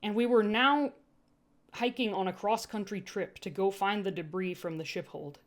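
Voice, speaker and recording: Woman reading fan fiction out loud, expressive audiobook style but informal, casual little asides. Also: medium pitch, moderate pace. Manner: informal, breaks off to laugh and comment. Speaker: amateur fan fiction narrator.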